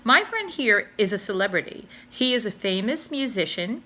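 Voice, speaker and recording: A woman voicing a loud, boastful boatman who insists his friend is famous and bristles at doubts. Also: medium pitch, very animated, normal speed, voice pushed up. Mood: indignant.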